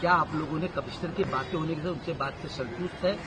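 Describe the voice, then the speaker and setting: A man's voice: a little high, quick pace, noisy background. A man working as a news reporter, asking questions at an outdoor press scrum.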